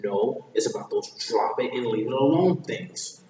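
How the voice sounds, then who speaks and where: A guarded cartoon ogre, played by a man, defensive and misunderstood. His voice sits at an ordinary pitch, far from the mic in a heavily echoing hall. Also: lively intonation, moderate pace, mellow, lightly acted. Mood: dismissive. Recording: echoey hall, far from mic, faint noise.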